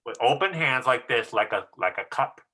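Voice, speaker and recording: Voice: medium pitch, lively intonation, moderate pace, sharp tone. An encouraging drama coach casually leading a rehearsal over a video call and demonstrating how to say lines.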